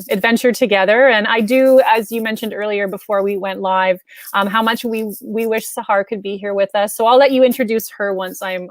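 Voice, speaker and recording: A woman's voice: mid-range voice, no room sound. Effusive Canadian woman, dry close sound. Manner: effusive, enthusiastic. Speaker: kindergarten teacher and co-author co-hosting. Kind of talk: casual, unscripted introduction.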